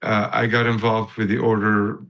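A man's voice: fairly low pitch, flat delivery, normal speed, a bit bright, low-quality recording. A man in his fifties from Lebanon, talking in an interview as a guest, with many fillers and restarts. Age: 50s. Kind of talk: casual interview talk.

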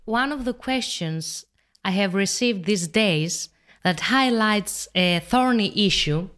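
Slow woman teacher. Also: medium pitch, animated, a bit bright. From Greece. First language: Greek. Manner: measured, explanatory. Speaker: Greek language teacher. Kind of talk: scripted explainer video.

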